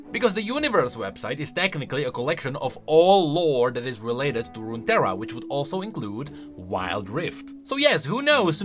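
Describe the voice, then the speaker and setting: Male host for casual gaming commentary, bright forceful tone, lively swings, thinks out loud emphatically. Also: fairly high pitch. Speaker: video creator and host. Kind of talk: casual game lore commentary.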